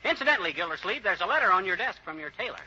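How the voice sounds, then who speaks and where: An actor playing a nosy, cheeky husband who makes folksy, brash wisecracks in a 1940s American radio comedy. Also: male voice, sits high, very animated, very fast, bright, forceful tone, husky, exaggerated voice. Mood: brash, needling. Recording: muffled, noisy old-time radio recording.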